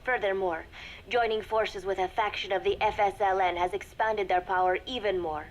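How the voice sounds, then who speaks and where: A composed young female spy, played by a woman, records a secret log for a video game. Her delivery is measured and formal, with careful, deliberate wording and a mid-range pitch. The tape has clear noise behind her voice. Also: steady tone, lightly acted. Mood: cool, calculating. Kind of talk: video game voice acting, spoken audio log. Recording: noisy cassette tape recording with ambience.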